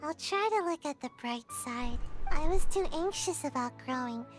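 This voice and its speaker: Casting: small sulky ninja girl for a game, extremely high childlike voice, dejected and earnest, faint music underneath. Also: lively intonation, moderate pace. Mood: glum. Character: sulky young ninja girl, glum and dramatic about not growing. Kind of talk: video game voice acting.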